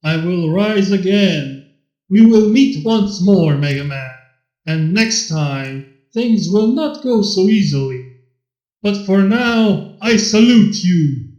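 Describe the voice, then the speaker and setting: A man's voice: high voice, expressive, slow, darkish tone, echoey room. A man voicing a theatrical, honourable robot villain in a fan story, declaiming pompously in archaic, grandiose speech. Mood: dying, theatrical. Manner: pompous, declamatory Shakespearean delivery. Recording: dull lo-fi with background music.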